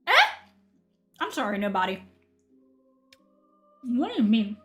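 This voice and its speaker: A woman's voice: fairly high pitch, very animated, a little slow, a bit bright, faint music behind. A young woman from Spain, a native Spanish speaker, chatting in a rambling way on her livestream and answering chat. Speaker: livestream host.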